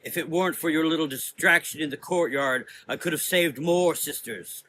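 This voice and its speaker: A man playing a mysterious, formal and quietly menacing dark antihero in a gothic audio drama, speaking in measured phrases. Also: fairly high pitch, a little hiss or hum, low-quality recording. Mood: restrained anger.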